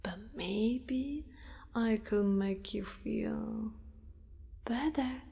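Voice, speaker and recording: Casting: woman to voice a possessive, doting spider-girl monster for ASMR roleplay, high soft breathy voice, slow coaxing delivery, cavernous reverb. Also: heavily acted. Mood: tender, soothing. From Italy. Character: possessive, doting female spider monster who kidnaps a mate.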